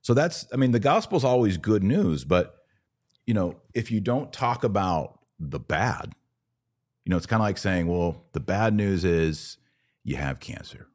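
A man's voice: low voice, expressive, a little slow, a little mellow. A man giving conversational religious commentary as a podcast host, emphatic and plain-spoken as he explains.

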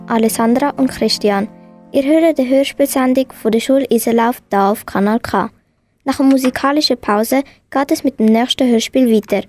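A teenage girl presents a school radio show from a script. Her voice is fairly high with a Swiss German accent, and music plays along. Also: darkish tone. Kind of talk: scripted amateur radio announcing.

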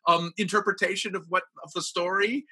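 A man hosting a casual interview, talking in an animated, rambling way. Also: sits high, fairly quick, slightly rough. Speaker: podcast host, interviewer.

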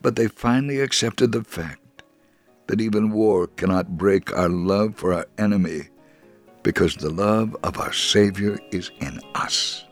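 A man reading a scripted first-person story as a narrator, in a measured, deliberate way. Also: lowish voice, faint music behind.

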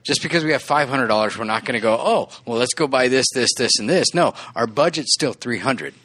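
A man's voice: medium pitch, animated, brisk, fairly bright tone. A man in his forties, a podcast co-host, talking casually and earnestly with restarts and frequent laughs. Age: 40s. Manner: rambling, earnest, laughs often. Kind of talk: casual chat.

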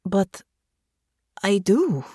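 Audiobook role: gentle young farm woman, voiced by a female narrator, earnest, careful with each word, close dry sound. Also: medium pitch, big pitch swings, breathy edge, no room sound, heavily acted. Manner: soft, careful, deliberate, formal phrasing. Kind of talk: audiobook character dialogue.

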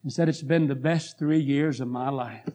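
A hoarse man preaching a revival sermon in a church, telling a story and building to fervent appeals. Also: medium pitch, steady tone, moderate pace. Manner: rising to fervent pleas, then quiet prayer. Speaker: guest preacher. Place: church sanctuary.

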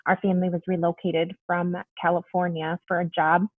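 A woman's voice: lowish voice, level tone, a little fast, no room sound. Young woman from Mexico, bubbly guest, tinny phone-like sound, dry. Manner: bubbly, chatty, frequent ums and laughs. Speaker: guest, police officer. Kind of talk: casual interview chat.

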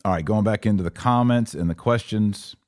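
American man, podcast host fielding live comments; very low voice, dry close-mic sound, conversational and questioning. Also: brisk, a little mellow, dry recording. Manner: conversational, poses rhetorical questions, thinks aloud. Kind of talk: live commentary, reading and answering viewer comments.

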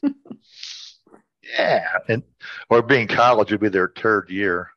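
A man's voice: fairly low pitch, slow pace, a bit bright, lo-fi sound. A man co-hosting a podcast, trading jokes in casual banter. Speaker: podcast co-host. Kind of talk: casual banter and joke telling.

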